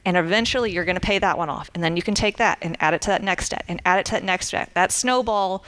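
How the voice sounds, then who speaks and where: A woman's voice: fairly low pitch, quick pace, fairly bright tone, no room sound. A woman calling in to an advice show, giving plain-spoken, encouraging advice. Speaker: caller. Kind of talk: casual advice on the phone-in show.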